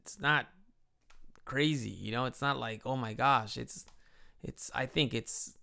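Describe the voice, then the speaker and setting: Thirties man from Chicago, Midwest accent with a slight Mexican tinge, loose rambling vlog delivery, gravelly. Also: medium pitch, a little slow, pushed and bright. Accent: Midwest American with a slight Mexican tinge. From Chicago, United States. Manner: rambling, chatty, frequent restarts. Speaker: vlogger living in Japan. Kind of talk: casual personal vlog.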